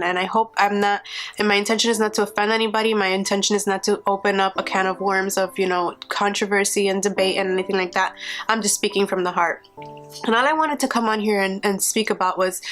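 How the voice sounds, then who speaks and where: A congested woman with a cold, talking to her viewers in a hesitant, earnest way, with many ums and restarts. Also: mid-range voice. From Florida. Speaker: YouTuber, mother of two. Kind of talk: casual vlog talk to camera. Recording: music playing behind the voice. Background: soft guitar music.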